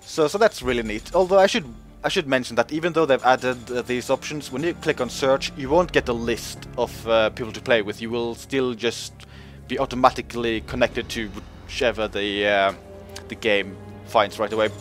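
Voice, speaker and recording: Male host, relaxed game-patch commentary, filler-heavy, playful pitch jumps, light music underneath. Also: normal speed, hardly any pauses. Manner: chatty, many fillers, pitch jumps up when joking. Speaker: gaming video host.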